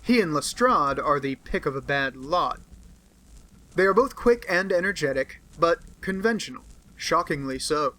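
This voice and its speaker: Male reader as cool brusque detective, audiobook, bright timbre. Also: on the high side, animated, moderate pace, poor audio. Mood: dismissive. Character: aloof brilliant male detective, curt.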